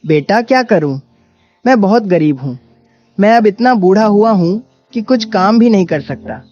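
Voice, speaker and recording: Cartoon story beggar, male actor: poor old man, high thin pitch, humble, music underneath. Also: animated, moderate pace, a little mellow. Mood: plaintive. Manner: plaintive, humble. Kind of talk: animated Hindi moral story acting. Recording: dull lo-fi audio with music behind.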